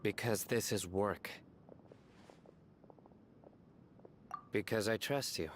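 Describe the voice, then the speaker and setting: An actor playing a stern, terse law enforcer in a video game, speaking in a serious, guarded, clipped way. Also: male voice, on the low side, normal speed, a little hiss or hum, lightly acted. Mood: commanding. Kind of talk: scripted video game dialogue.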